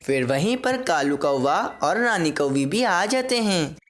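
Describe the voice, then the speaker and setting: A woman's voice: low voice, big pitch swings, measured pace. A woman reading scripted narration for a children's moral story.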